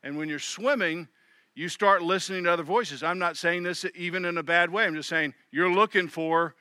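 Middle-aged guy preaching, bright slightly high voice, dry close sound. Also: normal speed, smooth, no room sound. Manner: conversational preaching with quoted inner voices. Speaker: pastor. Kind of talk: sermon.

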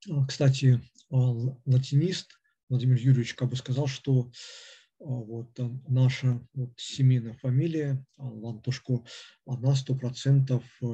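A man lecturing off the cuff, stopping and restarting at every turn with a string of fillers. His voice is very dark and husky, and he speaks in a flat, level tone. The recording sounds dull and lo-fi. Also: medium pitch. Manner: halting, with constant hesitations and fillers. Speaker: lecturer on philosophy. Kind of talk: unscripted lecture.

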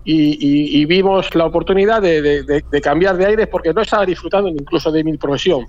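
A man in his forties from Madrid with a Castilian Spanish accent, a hotel owner giving a chatty, halting radio interview full of repeated words. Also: on the high side, darkish tone. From Madrid, Spain. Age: 40s. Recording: dull lo-fi radio audio.

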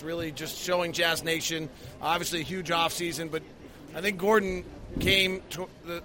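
A man hosting a post-game sports show, giving casual, emphatic basketball analysis and stories with a taste for exaggeration. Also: high-pitched. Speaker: sports podcast host, basketball broadcaster.

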